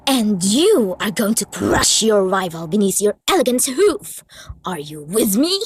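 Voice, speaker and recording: A woman's voice: mid-range voice, big pitch swings, a little slow, a little hiss or hum. A woman voicing a refined young female cartoon pony fashionista, gushing and theatrical in a comic dub. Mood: indignant. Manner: posh, gushing, melodramatic.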